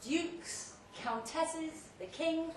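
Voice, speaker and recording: A woman's voice: extremely high pitch, drawn-out words, voice pushed up, exaggerated voice. A woman voicing a drugged, pompous male celebrity chef declaiming a speech in a live story reading. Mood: grandiose. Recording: roomy, distant live recording, faint noise.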